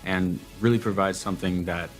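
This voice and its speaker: Man, interviewee: very low voice, casual and eager, music bed. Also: steady tone, fairly quick, poor audio. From Florida, United States. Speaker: map creator in a team. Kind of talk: interview soundbites.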